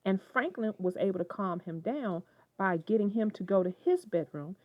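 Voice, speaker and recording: Female children's narrator and host: expressive, mid-pitched, animated delivery, lo-fi sound. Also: normal speed, no room sound. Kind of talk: expressive read-aloud storytelling and friendly discussion. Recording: dull lo-fi sound, soft guitar music.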